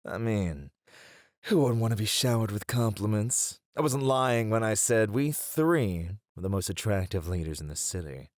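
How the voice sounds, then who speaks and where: A man's voice: lowish voice, lively intonation, moderate pace, dry recording, studio quality, lightly acted. A man playing a cocky, shrewd gang leader in a flirty ASMR roleplay, teasing in a playful, theatrical way.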